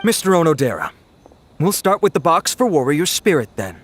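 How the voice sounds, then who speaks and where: An actor playing a deferential festival staff member in an anime game, speaking formally and respectfully. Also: male voice, fairly high pitch, very animated, moderate pace, fairly bright tone, slight background noise.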